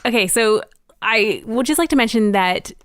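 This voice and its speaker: A woman's voice: on the high side, expressive, moderate pace, fairly bright tone, clear, resonant tone, dry recording, studio quality. A woman co-hosting a casual podcast, upbeat and explaining things at length with lots of "like". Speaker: podcast co-host.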